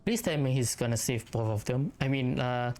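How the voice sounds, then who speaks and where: A woman's voice: a bass voice, animated, normal speed, darkish tone. A woman giving casual, hesitant let's play commentary, thinking aloud as she reacts to a game. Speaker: gamer playing and reacting to a story quest. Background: game music and dialogue.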